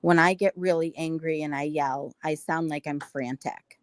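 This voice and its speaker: A woman speaking on a discussion panel, explaining her view in an earnest, measured way. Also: steady tone, no room sound, studio quality. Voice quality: self-described naturally high-pitched voice.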